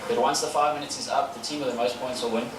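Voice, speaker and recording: A man's voice: mid-range voice, fairly even intonation, quick pace. A man, a paintball player chatting in an outdoor interview with lots of fillers and restarts. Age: 30s. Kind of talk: casual interview answers. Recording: echoey, far from the mic, with noise.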